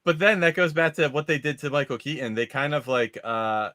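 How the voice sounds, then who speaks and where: Deep-voiced man in casual movie chat. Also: a little fast, pushed and bright, no echo, clean studio sound. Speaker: podcast host.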